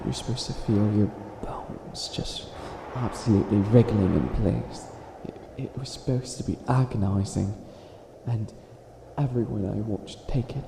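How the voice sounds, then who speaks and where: A man's voice: mid-range voice, gravelly. Man playing a flustered wicked witch, echoey room. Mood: bewildered. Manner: stammering, flustered, dramatic drawn-out emphasis. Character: flustered self-styled evil witch, hopelessly helpful, theatrical. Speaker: ASMR roleplay performer. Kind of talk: ASMR character roleplay, comedic monologue. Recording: echoey room with audible background noise.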